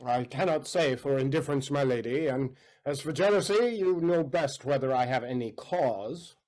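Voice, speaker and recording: A man's voice: mid-range voice, moderate pace, a little mellow, natural voice. A man playing a jealous, domineering nobleman in an audio play, demanding and suspicious. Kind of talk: stage audio play acting.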